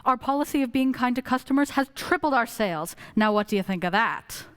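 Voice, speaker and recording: A woman playing an excitable male department store boss in a radio play, emphatic and given to repeating words. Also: on the high side, brisk, smooth, lightly acted. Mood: pleased. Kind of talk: stage-style radio play acting.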